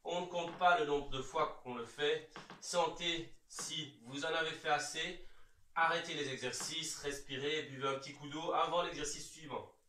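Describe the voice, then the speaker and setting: Male exercise instructor, slightly high bright voice, reassuring, step-by-step guidance. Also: fairly even intonation, moderate pace, a little hiss or hum. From Belgium. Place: large bare indoor room. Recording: echoey room, distant microphone.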